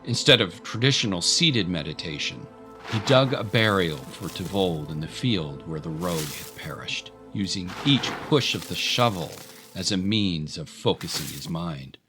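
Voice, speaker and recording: A man with a low voice narrates an audio drama. His delivery is scripted, dramatic storytelling, and music plays clearly behind him. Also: lively intonation, unhurried.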